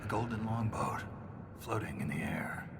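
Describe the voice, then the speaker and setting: An actor playing a grim, wry veteran dwarf soldier in a video game, telling things in a dry, blunt way. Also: male voice, lowish voice, animated, normal speed, gravelly, background noise. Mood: wry storytelling. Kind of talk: video game cutscene dialogue.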